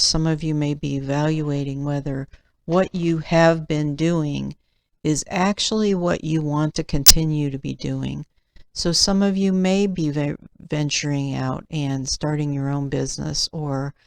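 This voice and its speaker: A woman giving a tarot reading in measured, deliberate phrases with pauses, her voice slightly nasal. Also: deep voice, a little mellow, clean tone, no echo. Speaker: tarot reader and channel host. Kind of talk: slow, deliberate tarot reading, part read aloud from cards.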